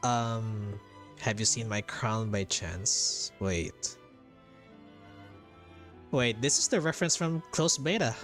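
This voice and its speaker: A man with a low voice talks slowly through a game playthrough. He sounds casual and hesitant, thinking aloud and repeating phrases as he reacts, with game music faintly behind him. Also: fairly bright tone. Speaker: video game streamer. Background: video game music.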